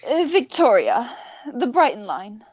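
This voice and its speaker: A woman's voice: fairly high pitch, sing-song, unhurried, darkish tone, dry recording, low-quality recording. A woman playing a prim, flustered spinster governess in a radio play, earnest, proper and overwrought. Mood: distressed.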